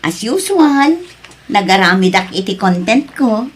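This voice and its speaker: A woman's voice: mid-range voice, big pitch swings, measured pace. A woman playing an upbeat, encouraging content creator in an Ilocano radio drama, with an Ilocano Filipino accent.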